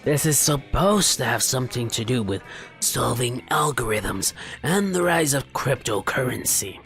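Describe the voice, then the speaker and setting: A man's voice: mid-range voice, lively intonation, unhurried, a bit bright, soft background music. A man hosting a gaming video, reading the story aloud theatrically and adding casual commentary.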